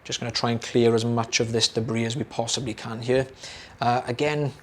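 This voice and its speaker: A young British man, an audiologist, explaining a procedure to camera in a relaxed, chatty way with many fillers and repeated words. His voice is slightly hoarse, as if he is losing it. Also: mid-range voice, a little fast.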